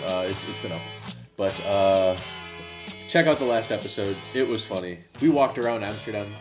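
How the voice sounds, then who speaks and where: A man's voice: lowish voice, normal speed, music in the background. A young man hosting a casual podcast, rambling with many restarts and casual swearing. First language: English. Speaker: podcast host. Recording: slightly dull lo-fi sound.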